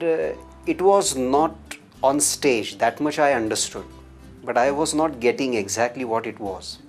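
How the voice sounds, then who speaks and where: A man, a filmmaker, slowly and thoughtfully recalling how he came to make his first feature film. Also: medium pitch. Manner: slow, reflective. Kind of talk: interview testimony.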